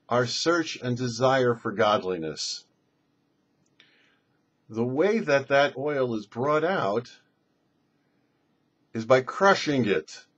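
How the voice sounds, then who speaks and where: A man's voice: medium pitch, husky. A man, a rabbi and show host, giving a religious teaching in a didactic way with emphatic phrasing. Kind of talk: religious teaching on a Torah portion. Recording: dull lo-fi recording.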